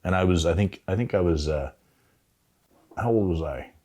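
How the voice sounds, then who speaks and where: A Canadian man in his fifties telling stories casually as an interview guest, in a halting, thoughtful way. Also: deep voice, a little mellow. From Ontario, Canada. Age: 50s. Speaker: veteran tattoo artist, interview guest. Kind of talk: casual reminiscing, storytelling.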